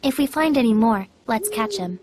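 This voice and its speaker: A woman playing a sardonic, bossy, easily bored young female spirit in an animated game, sharp-tongued and teasing. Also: fairly high pitch, moderate pace, background noise. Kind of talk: animated game cutscene acting.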